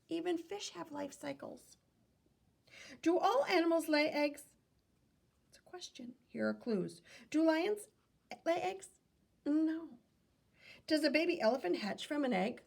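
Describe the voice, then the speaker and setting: A woman reading a children's nonfiction book aloud in a teacherly way, pausing for questions and small self-corrections. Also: fairly high pitch, creaky, a little mellow. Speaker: read-aloud reader and teacher.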